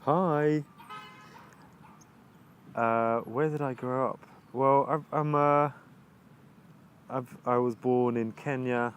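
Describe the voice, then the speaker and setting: A man with an English accent, answering interview questions in a halting, reflective way, often restarting sentences while he searches for words. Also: mid-range voice, fairly even intonation, clean tone. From England. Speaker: videographer interviewee. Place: outdoors overlooking the ocean.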